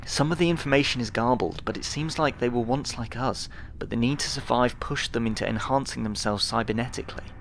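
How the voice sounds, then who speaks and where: A man's voice: mid-range voice, fairly quick, hardly any pauses, fairly bright tone, slight background noise, voice pushed up, lightly acted. A man voicing an earnest, anxious female expedition scientist in a dramatised sci-fi audiobook, with urgent delivery. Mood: earnest, astonished.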